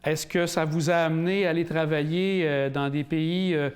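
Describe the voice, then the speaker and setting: A man's voice: fairly high pitch, fairly even intonation, normal speed. A man hosting a local TV interview, asking questions with many fillers and hesitations. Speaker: interviewer and host. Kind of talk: community TV interview.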